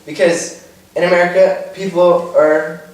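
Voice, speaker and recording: A young man from California answers interview questions in a loose, chatty way, full of "like" and "you know". His voice sits a little high. He is recorded from a distance in a very echoey room, with faint noise behind him. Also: little pitch movement, a little slow. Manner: chatty, many fillers like "like" and "you know", drops Czech words.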